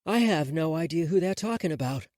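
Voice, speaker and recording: A man voicing a mocking sharp-tongued young woman. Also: on the high side, expressive, fairly quick, no echo, natural voice. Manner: dry mocking wit.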